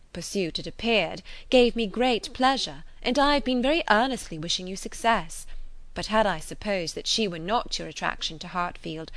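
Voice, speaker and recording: A woman's voice: medium pitch, no echo, natural voice. Woman playing an indignant, proud young lady. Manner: formal, emphatic, affronted. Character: indignant, proud, well-bred young lady rebuffing a suitor. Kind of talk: dramatised classic novel reading.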